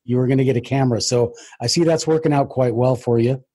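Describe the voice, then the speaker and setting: A man with a husky voice, casually hosting an interview as the presenter of a book show. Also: mid-range voice, fairly even intonation, fast, warm tone.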